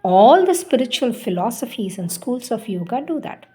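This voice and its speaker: A woman reading spiritual writings aloud in a solemn, deliberate, devotional manner. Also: a little high, sing-song, dark tone, faint music behind.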